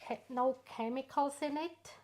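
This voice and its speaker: A middle-aged woman from Switzerland with a Swiss accent, an artist being interviewed about her work, speaking unhurriedly with pauses and fillers. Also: high-pitched. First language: not English. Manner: unhurried, warm storytelling with frequent pauses and fillers. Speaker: artist guest. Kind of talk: casual interview.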